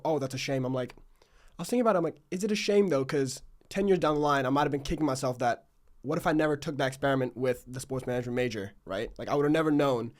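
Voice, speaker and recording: A man's voice: medium pitch, a little mellow. A young man hosting a casual podcast interview, talking informally and reflectively with plenty of "like". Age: young adult. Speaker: podcast host.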